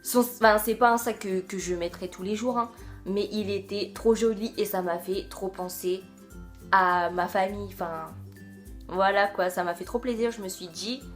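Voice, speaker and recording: Young French woman, casual vlogger, halting chatty delivery, faint music underneath. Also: mid-range voice. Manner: chatty, many fillers and hesitations. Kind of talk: casual video chat.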